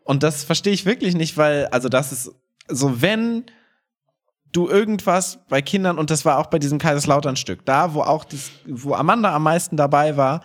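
A man's voice: on the high side, moderate pace. A man talking casually and conversationally as the co-host of a podcast.

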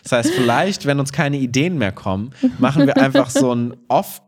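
A man's voice: medium pitch, huge pitch swings, normal speed. A man co-hosting a podcast in casual conversation, an improv teacher with a playful, joking way of talking. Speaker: podcast co-host.

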